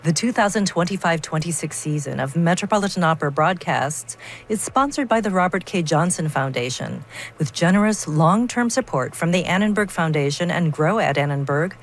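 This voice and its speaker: Low-pitched woman radio host. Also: expressive. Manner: polished, measured read. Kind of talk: scripted radio announcing.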